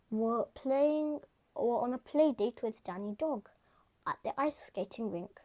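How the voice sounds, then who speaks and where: A woman reading a children's picture book aloud, gentle and soft-spoken, sometimes dropping to a whisper. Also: fairly high pitch. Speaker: storybook reader. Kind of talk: children's read-aloud narration.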